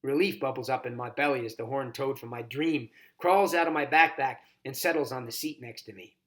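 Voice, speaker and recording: A man reading a children's novel aloud as a volunteer, narrating in an expressive, dramatized first-person style. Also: mid-range voice, fairly quick. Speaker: volunteer storybook reader.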